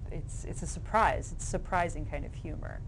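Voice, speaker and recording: Lower-pitched woman, halting and conversational. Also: slight background noise, low-quality recording. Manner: halting, self-interrupting, thinking aloud. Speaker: interview guest, playwright and theater director. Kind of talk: casual interview chat.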